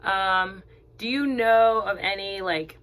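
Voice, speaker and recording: A woman's voice: mid-range voice, slow pace, fairly bright tone. A young woman interviewing a friend in casual chat, laughing often and filling gaps with "um" and "like". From Zimbabwe. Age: young adult.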